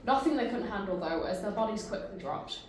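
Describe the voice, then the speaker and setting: A woman's voice: medium pitch, expressive, brisk, very echoey, slight background noise. A woman reading narration and stage directions at a table read.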